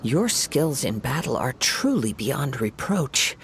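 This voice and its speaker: Cast: polite, measured young swordsman, mid-pitched, formal speech, for a fantasy game. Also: sing-song, vocal fry, a bit bright, slight background noise, heavily acted. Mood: calm. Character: courteous, scholarly young swordsman, male. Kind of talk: game voice acting.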